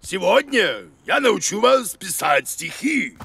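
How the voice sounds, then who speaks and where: A man voicing a blustery, bossy cartoon creature who teaches children, with a creaky voice and hammy exclamations. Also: sits high, very animated, takes it slowly, bright, forceful tone, dry recording, full character voice. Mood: pompous. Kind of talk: animated children's show acting.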